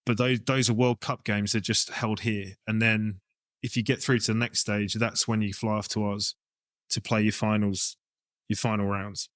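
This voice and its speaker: A man's voice: lowish voice, little pitch movement, moderate pace, a bit bright, dry recording. A man with an English accent talking casually as an interview guest, explaining his ideas informally as he thinks them through. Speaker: rugby coach and club director, interview guest.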